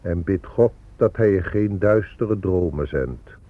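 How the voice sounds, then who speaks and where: Disillusioned man, lowish voice, grave, ironic, radio drama, muffled recording. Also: slow pace. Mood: bitter irony. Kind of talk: Dutch radio play acting.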